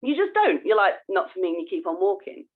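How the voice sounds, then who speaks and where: A woman's voice: mid-range voice, very animated, fast talker, slightly rough. A woman co-hosting a podcast in casual conversation, explaining things informally and often restarting her sentences. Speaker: podcast co-host. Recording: narrow phone-like sound.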